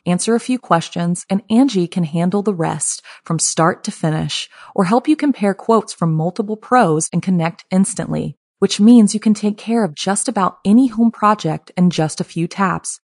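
A woman's voice: on the low side, moderate pace, darkish tone, dry recording, studio quality. A woman announcer reading a scripted advertisement. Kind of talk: scripted ad narration.

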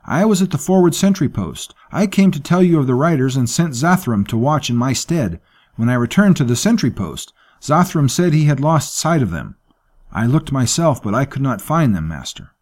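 A man's voice: medium pitch, sing-song, normal speed, darkish tone, voice pushed up. A man doing a frantic, breathless young servant. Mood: alarmed. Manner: breathless, urgent reporting. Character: frantic young male servant, anxious, deferential.

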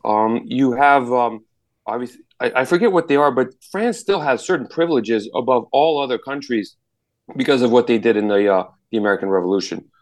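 A man answers questions as a guest entrepreneur in a relaxed podcast interview. He often stops to restart his sentences and fills gaps as he thinks. His voice sits at an ordinary mid pitch. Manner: halting, many restarts and fillers.

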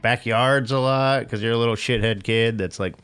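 A man's voice: mid-range voice, fairly even intonation, normal speed, a bit bright, smooth. A middle-aged man from Southern California, a former pro skater as podcast guest, telling stories in a rambling, laid-back way with lots of fillers and swearing. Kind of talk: casual interview chat.

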